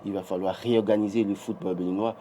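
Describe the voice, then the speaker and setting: Emphatic Beninese commentator with dark voice. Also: male voice, on the low side, level tone, fast talker, slight background noise. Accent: Beninese French. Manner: emphatic, many restarts, rising when insisting. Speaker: political commentator. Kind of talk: morning TV press review.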